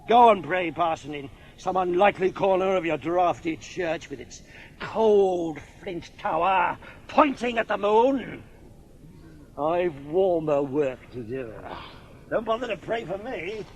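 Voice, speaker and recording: An actor playing a dissolute, drunken village squire in a British radio drama, with an upper-class English accent and a mocking, pompous manner. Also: male voice, high-pitched, expressive, a little slow, slight background noise. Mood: scornful, amused.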